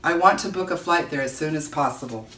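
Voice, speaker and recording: A woman's voice: sits low, fast talker, fairly bright tone. A woman voicing an anxious, loving army wife and mother in a storybook read-aloud, urgent and emotional. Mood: tearful, shaken. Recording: roomy echo, distant microphone.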